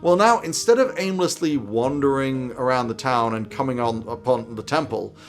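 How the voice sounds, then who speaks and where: Wry man in his thirties, mid-pitched. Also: normal speed, faint music behind. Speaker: host giving game master tips. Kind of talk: semi-scripted video commentary to camera. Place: small indoor home office.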